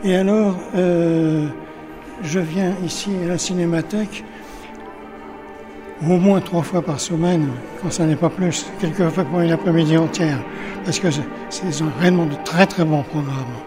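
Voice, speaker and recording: A man's voice: on the high side, fairly even intonation, unhurried, music in the background. An older man from Paris, interviewed at a cinematheque, talking hesitantly with many restarts and fillers. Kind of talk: casual interview. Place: cinematheque in a city.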